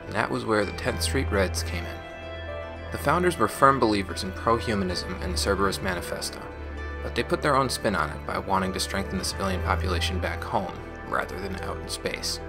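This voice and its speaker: A man playing a reflective former gang member, recording a measured, explanatory audio diary over music. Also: deep voice, gravelly. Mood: measured reflection. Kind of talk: scripted in-character audio diary narration. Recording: music bed under the voice.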